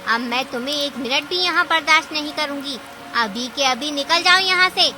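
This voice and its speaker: Greedy, scheming cartoon aunt creature, played by a woman: high, sharp voice, theatrical and scolding, prone to panic. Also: normal speed, a little hiss or hum. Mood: angry, scolding. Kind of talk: Hindi cartoon story acting.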